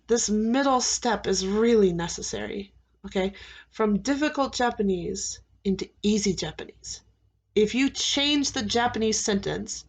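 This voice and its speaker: Slow, deliberate American woman teacher. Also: medium pitch. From United States. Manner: slow, deliberate, repeats key phrases with frequent "okay?" checks. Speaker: English teacher. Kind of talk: classroom advice talk to students.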